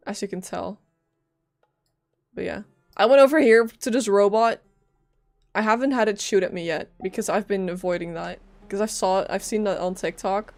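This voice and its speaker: Joking woman livestreaming, mid-pitched voice. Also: moderate pace, darkish tone, soft background music. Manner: joking, talks back to game characters, swears. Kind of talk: casual game livestream chat.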